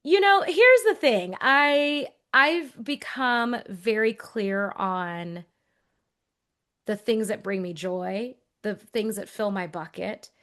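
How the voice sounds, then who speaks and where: A woman's voice: medium pitch, expressive, takes it slowly, fairly bright tone. A woman in her forties, raised in Texas, who speaks without a regional accent. She co-hosts a podcast and tells her own story in a thoughtful, earnest conversational way. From Texas, USA. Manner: earnest, thoughtful, with restarts and brief laughs. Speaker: podcast co-host.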